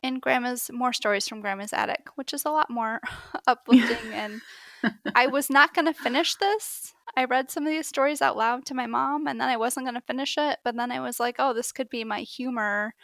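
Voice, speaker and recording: Gravelly, bright-voiced woman chatting books. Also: on the high side, normal speed, no echo. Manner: chatty, animated storytelling. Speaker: podcast co-host. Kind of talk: casual book chat.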